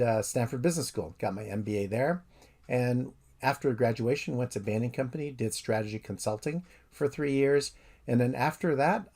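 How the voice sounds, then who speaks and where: A professor in his fifties giving a methodical, recorded introduction to his course. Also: mid-range voice. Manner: steady, methodical explainer.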